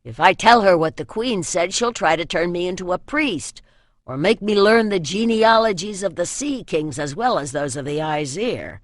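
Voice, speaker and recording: A woman's voice: fairly low pitch, lively intonation, moderate pace, dry recording, clean studio sound, natural voice. Woman voicing a proud, sullen teenage boy. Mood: mutinous. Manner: muttering, mutinous, earnest. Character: proud, sullen teenage boy hiding his royal name. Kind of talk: fantasy audiobook character voice.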